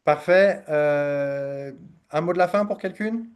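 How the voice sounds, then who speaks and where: Higher-voiced man coaching a group. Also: slow, smooth. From Ivory Coast. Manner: encouraging, emphatic repetition. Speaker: workshop speaker. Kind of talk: informal coaching talk to a group.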